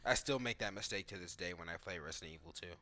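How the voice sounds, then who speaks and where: A man's voice: low voice, quick pace, sharp tone. A man giving casual, off-the-cuff commentary on a video game, thinking aloud in informal language and often saying "like". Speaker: gaming video creator reacting to cutscenes and gameplay.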